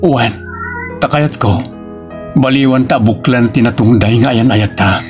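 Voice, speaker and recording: A man's voice: medium pitch, a little slow, close to an everyday voice. A man acting in an Ilocano audio drama, playing an earnest, emotional family man with an Ilocano accent. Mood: earnest, tender. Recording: music bed under the voice.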